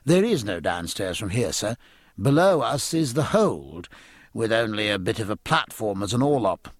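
A man's voice: on the low side, big pitch swings, normal speed, no echo, studio quality. A man voicing an earnest, eager young midshipman in an audiobook, polite and keen to explain nautical matters. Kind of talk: audiobook character acting.

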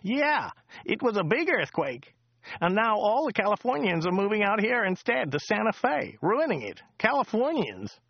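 A man voicing a chatty, excitable driver who rambles, repeats himself and laughs at his own jokes. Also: normal speed, no echo, dull audio, heavily acted. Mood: rambling chatter. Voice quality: high, loud and excitable. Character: chatty loud male American taxi driver. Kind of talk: audiobook character voice.

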